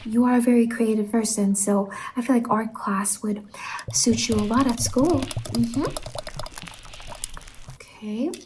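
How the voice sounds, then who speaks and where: A woman performing ASMR roleplay as a friendly salon stylist, speaking softly and reassuringly with some whispering, close to the microphone. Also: a little high, unhurried, long pauses, slight background noise, low-quality recording. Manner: gentle, reassuring, addresses listener as a client. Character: friendly whimsical female stylist in a dream-world salon.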